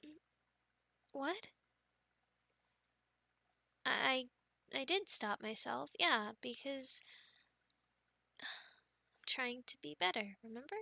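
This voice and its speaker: Young woman, soft rambly girlfriend roleplay, bright slightly high voice, dry close mic. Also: animated, slow, gravelly, pauses often, no room sound. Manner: soft, chatty and rambling, with many ums and light laughs. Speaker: ASMR roleplay creator.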